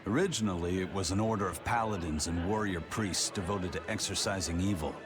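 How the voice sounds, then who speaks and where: Man as a low, composed scholarly adventurer. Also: natural voice. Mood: matter-of-fact. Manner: measured, formal. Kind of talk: video game voice acting. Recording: music behind the voice.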